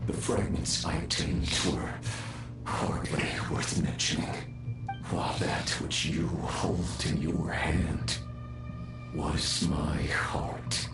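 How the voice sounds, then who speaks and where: Slow, deep, menacing undying villain. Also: male voice, reverberant. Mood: grandiose. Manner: slow, theatrical, ominous declamation. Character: grandiose, menacing undying male villain, coldly wrathful. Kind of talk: dramatic video game voice acting.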